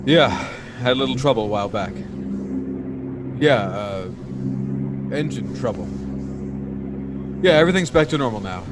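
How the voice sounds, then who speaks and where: An actor playing a dutiful airplane pilot in a classic 1940s-style radio drama. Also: male voice, mid-range voice, animated, normal speed, pauses often, background noise, lightly acted. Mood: steadying. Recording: loud music and engine behind.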